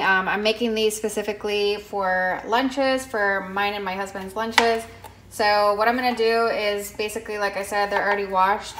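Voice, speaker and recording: A young woman casually narrating her meal prep in a home kitchen, talking in a relaxed way with lots of ums and restarts. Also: mid-range voice, a little hiss or hum. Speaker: cooking vlogger.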